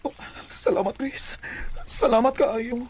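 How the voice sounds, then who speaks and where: Emosyonal nga bayot sa dramang Bisaya, may gamayng saba. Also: male voice, very high voice, unhurried. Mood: pleading, grateful. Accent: Cebuano Bisaya. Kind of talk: Bisaya radio drama acting. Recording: faint background noise.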